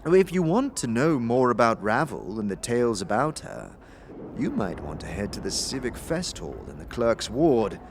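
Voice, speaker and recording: A man's voice: medium pitch, sing-song, moderate pace, a little hiss or hum. Man playing Sebastian, a wry, worldly fixer. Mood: confiding. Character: Sebastian, mischievous worldly male fixer, knowing lore-teller.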